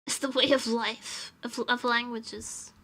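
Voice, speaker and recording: Slow-talking bright young woman streamer. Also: a little high, little pitch movement. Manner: chatty, laughs often, answers chat. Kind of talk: casual live-stream chat.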